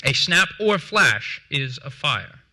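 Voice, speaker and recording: An actor playing a formal, grave early American duel second, reading a period account on stage with deliberate, declamatory diction. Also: male voice, a little high, big pitch swings, bright, forceful tone, heavily acted. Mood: stern, formal. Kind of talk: theatrical dramatic reading of period documents. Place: theatre stage before a seated audience.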